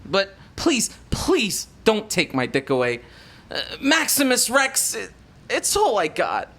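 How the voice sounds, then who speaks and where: A man's voice: sits high, wildly swooping pitch, slow pace, sharp tone, exaggerated voice. A man playing a crude, lecherous young hacker who talks pleadingly and laughs a lot.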